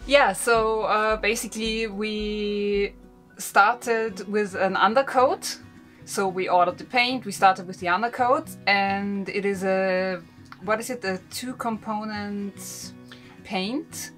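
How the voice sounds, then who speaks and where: A woman's voice: mid-range voice, steady tone, slow pace, a bit bright, soft background music. A young woman, a sailing vlogger, chatting to camera about a boat project and prompting her partner, seated in a wooden boat cabin. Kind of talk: casual explanation to camera.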